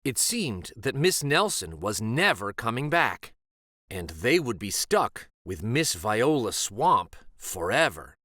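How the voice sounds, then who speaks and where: A man reading a children's story aloud as an expressive narrator. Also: medium pitch, slow, no room sound, studio quality.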